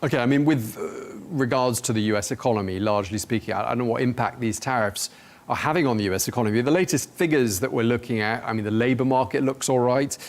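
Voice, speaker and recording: A male news anchor with a mid-pitched voice. He introduces stories and asks questions in a measured, conversational manner. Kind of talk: interview questions and story intros.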